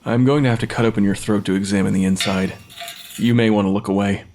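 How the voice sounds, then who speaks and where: A man's voice: fairly low pitch, steady tone, quick pace, darkish tone, a little hiss or hum, natural voice. Brusque, clinical forensic pathologist, played by a man. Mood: measured. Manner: matter-of-fact, clinical. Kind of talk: dramatic audio fiction acting.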